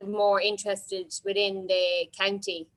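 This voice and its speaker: A woman's voice: mid-range voice, little pitch movement, a little slow, a bit bright, faint music behind, rough recording. An Irish woman organiser answering questions informally, explaining things in a hesitant way.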